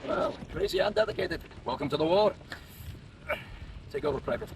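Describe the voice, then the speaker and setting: An actor playing a commanding officer giving a forceful briefing in a video game. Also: male voice, on the high side, expressive, normal speed, background noise.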